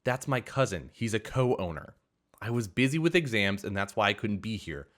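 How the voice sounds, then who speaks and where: A man's voice: on the low side, very animated, moderate pace. A man voicing a young woman restaurant owner who lectures a rude employee sternly.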